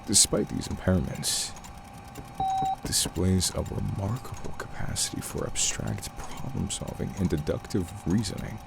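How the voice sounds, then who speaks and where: A man's voice: sits low, expressive, measured pace, creaky, exaggerated voice. A man playing a research doctor in an audio drama, dictating case notes in a formal, detached, clinical manner. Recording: noisy archive tape with vehicle-like ambience.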